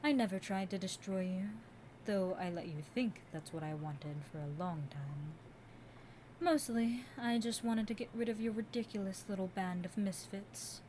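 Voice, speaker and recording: A woman's voice: medium pitch, warm tone, slight background noise, lightly acted, rough recording. A woman playing a seductive, condescending immortal Demon Queen in a theatrical, taunting audio roleplay monologue. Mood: possessive, taunting.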